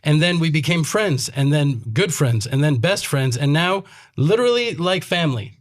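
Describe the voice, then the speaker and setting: A man's voice: on the high side, animated, normal speed. A man born in Texas and living in Israel, co-hosting a casual panel talk in an earnest, heartfelt manner and quoting Hebrew scripture. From born in Texas, USA, living in Israel. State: came straight from reserve duty. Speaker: co-host.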